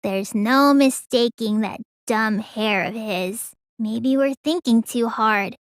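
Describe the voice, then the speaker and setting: Casting: teenage girl mage in a video game; childlike, petulant, proud, slow listless drawl, bright dry sound. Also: fairly high pitch, no room sound. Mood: matter-of-fact. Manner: childlike, listless drawl with sudden excitable bursts. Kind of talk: English video game voice acting.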